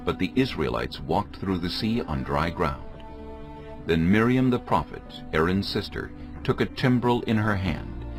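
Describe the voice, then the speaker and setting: Deep-voiced solemn male scripture narrator. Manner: solemn, storytelling.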